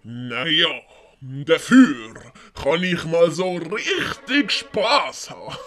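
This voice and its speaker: A man playing a gleeful, scheming villain in a comic audiobook, gloating in a drawn-out way. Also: a little high, sing-song, fairly bright tone, heavily acted. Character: scheming, gleeful villain lurking and spying, male. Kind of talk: German audiobook commedia dell'arte acting.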